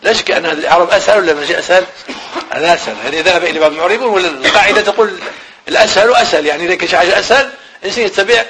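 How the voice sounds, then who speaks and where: Quick, high-voiced Moroccan teacher. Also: male voice, pushed and bright, slight background noise. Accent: Moroccan Arabic. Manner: explains step by step, repeats phrases, asks questions then answers them. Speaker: grammar teacher. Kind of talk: classroom lesson on Arabic grammar.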